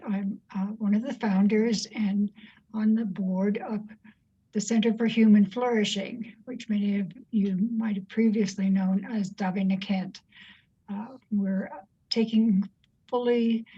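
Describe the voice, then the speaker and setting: Mellow, slightly husky woman hosting an interview. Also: mid-range voice, highs cut off. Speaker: interview host. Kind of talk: conversational interview.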